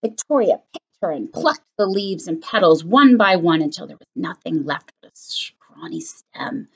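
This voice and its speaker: A woman elementary school teacher reading a picture book aloud to her students, expressive and animated. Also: on the low side, normal speed, breathy edge, dull audio.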